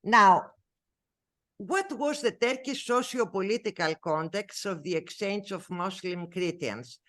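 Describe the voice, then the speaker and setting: A woman's voice: mid-range voice, a little slow, a bit bright. A woman from Greece with a Greek accent, giving an academic lecture in English, her second language, with many fillers and self-corrections. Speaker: researcher giving a talk.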